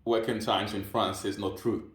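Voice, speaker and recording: Low-voiced man asking questions, echoey. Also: little pitch movement, moderate pace. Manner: friendly, casual. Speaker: interviewer. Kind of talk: casual interview questions. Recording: echoey room.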